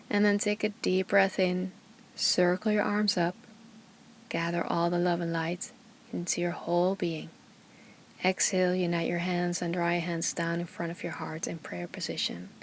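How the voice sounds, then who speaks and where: Female meditation guide, unhurried, calm instructions, soft at times. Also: on the low side, a little hiss or hum. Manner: slow, soothing instructions with long pauses. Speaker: yoga and meditation teacher.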